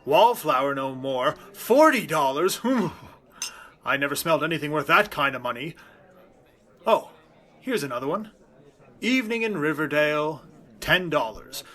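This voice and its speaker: Easily flustered middle-aged father in 1940s radio comedy; genial, muttering, somewhat high-pitched. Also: lively intonation, moderate pace, long pauses, pushed and bright. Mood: musing. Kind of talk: 1940s radio sitcom acting.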